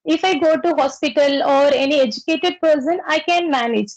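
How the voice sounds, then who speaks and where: A woman from Pondicherry, speaking simple, halting with fillers as a guest learner. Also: sits high, lo-fi sound. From Pondicherry, India. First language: Tamil. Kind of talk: casual conversation.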